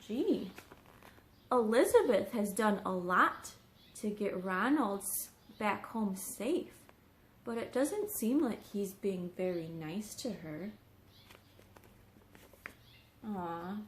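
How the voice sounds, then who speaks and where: A woman reading a children's story aloud as a teacher, expressive, putting questions to young listeners. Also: mid-range voice, slow, slight background noise. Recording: roomy echo on the voice.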